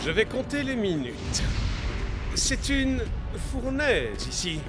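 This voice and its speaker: High-pitched, scheming cartoon inventor. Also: male voice, big pitch swings, slow, exaggerated voice. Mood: sardonic. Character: smooth scheming male inventor villain, politely sardonic. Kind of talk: French animated children's series dub. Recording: music behind.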